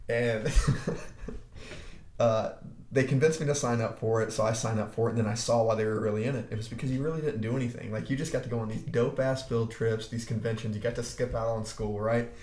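A young man from Arkansas with a deep voice, co-hosting a podcast and telling laid-back stories full of restarts and swearing. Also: steady tone, normal speed, darkish tone. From Arkansas, USA. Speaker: podcast co-host. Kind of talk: casual chat. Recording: echoey room.

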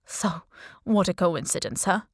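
Lady doing a smooth guy, slow n halting, charming killer playing it casual, audiobook. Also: fairly low pitch, no room sound, studio quality. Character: smooth, charming male Spanish assassin posing as chef. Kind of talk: audiobook character dialogue.

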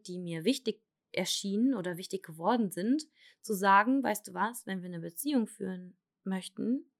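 A young woman from Germany talks through her own story in a frank, confessional way. She hesitates a lot, restarting sentences and filling pauses. Her voice sits at a middle pitch. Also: gravelly. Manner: hesitant, halting, many fillers and restarts; candid, confessional. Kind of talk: personal testimony.

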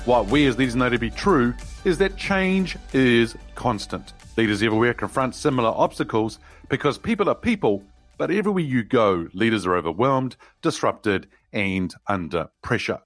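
A man's voice: medium pitch, animated, normal speed, soft background music. A man hosting a leadership podcast, reading his opening and then interviewing a guest in an upbeat, emphatic way. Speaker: podcast host. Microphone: video call.

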